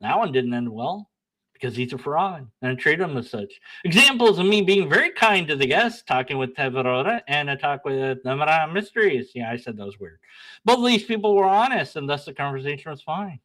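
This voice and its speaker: A young man hosting his own channel, reading out an email exchange with blunt, sarcastic, self-mocking commentary. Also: fairly high pitch. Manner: animated, sarcastic, laughs at himself, bickers with himself; blunt, argumentative when reading his replies. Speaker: channel host. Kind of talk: casual solo commentary, reading emails aloud.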